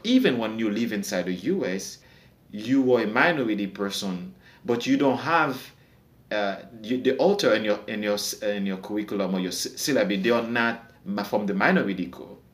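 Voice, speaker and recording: A man's voice: fairly low pitch, animated, moderate pace, echoey room. A man from Haiti with a Haitian accent, a native Creole speaker, talking earnestly as a podcast guest and often repeating words as he searches for them. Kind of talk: casual discussion.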